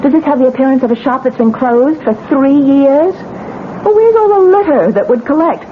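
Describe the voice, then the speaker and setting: A shaken, pleading young wife. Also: female voice, high voice, lively intonation, normal speed, a little hiss or hum. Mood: insistent. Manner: pleading, insistent, near tears. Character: shaken young wife doubting her own mind. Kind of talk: 1970s radio drama acting. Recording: tinny old radio broadcast.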